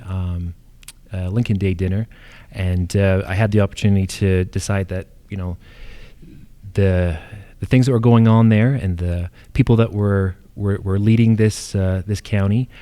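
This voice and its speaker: A middle-aged man with a British accent giving a halting candidate's introduction at a public forum, often hesitating and repeating words. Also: low voice, warm tone. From United Kingdom. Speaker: political candidate.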